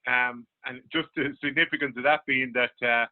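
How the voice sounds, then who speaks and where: A man's voice: mid-range voice, fairly even intonation, normal speed. An Irish man, a sports journalist, recapping a match in a radio sports discussion. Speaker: sports journalist, guest pundit. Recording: thin phone-line radio sound.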